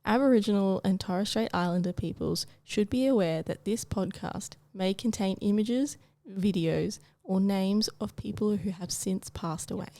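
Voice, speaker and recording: Gravelly woman hosting. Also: mid-range voice, normal speed, few pauses, dark tone. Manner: friendly, short encouraging replies. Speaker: interview host. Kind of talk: casual interview chat.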